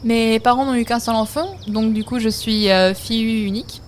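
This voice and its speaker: A woman in her thirties from France, telling her own story in an interview, relaxed and reflective with many hesitant pauses. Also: a little high, slight background noise. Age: 30s. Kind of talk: personal testimony interview.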